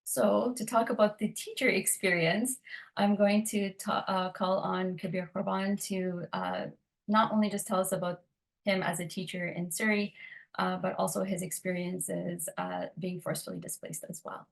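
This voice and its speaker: A woman giving an academic presentation on educating refugee students, speaking in a measured, explanatory way. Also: mid-range voice, steady tone, creaky.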